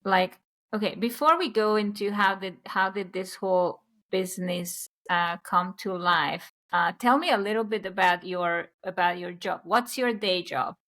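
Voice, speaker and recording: A woman hosting an interview podcast, framing her questions in an unhurried, hesitant way that repeats words. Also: medium pitch, fairly bright tone. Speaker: podcast host. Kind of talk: casual interview chat.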